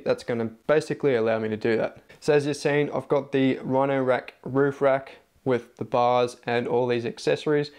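Relaxed young guy talking gear. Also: medium pitch, smooth. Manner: relaxed, chatty, plain-spoken. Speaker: off-road vlogger. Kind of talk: casual product talk to camera. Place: outdoors in a backyard, then a plain indoor room.

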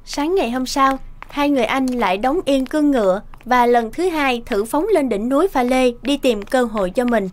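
A woman's voice: high-pitched, normal speed, hardly any pauses, slight background noise, natural voice. A woman working as the scripted narrator of a cartoon fairy tale, telling the story. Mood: storytelling.

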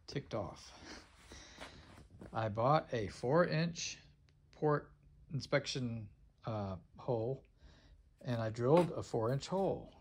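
Unhurried middle-aged man in a woodshop. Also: mid-range voice, fairly bright tone, a little hiss or hum. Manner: unhurried, thinking aloud with many fillers. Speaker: woodworker building a canoe. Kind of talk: casual workshop narration. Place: large indoor woodworking shop.

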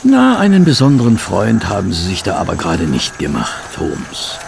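Knarzender, gutmütiger Doktor. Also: male voice, low voice, wildly swooping pitch, moderate pace, vocal fry, slight background noise, exaggerated voice. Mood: mildly chiding. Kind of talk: radio play acting. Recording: outdoor insect and animal ambience behind.

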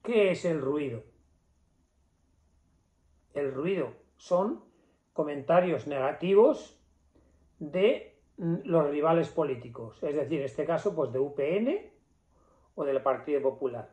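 A man's voice: a little high, lively intonation, takes it slowly, long pauses, dark tone. A man giving an indignant, emphatic opinion monologue to camera in a small office, with rhetorical questions and repetition. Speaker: political commentator. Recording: echoey room, slightly dull sound.

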